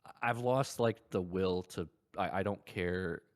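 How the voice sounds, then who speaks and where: Casting: male podcast co-host, low-pitched voice, blunt and informal, comfortable cursing in loose gaming chat. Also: unhurried, dry recording, studio quality. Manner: blunt, casual swearing.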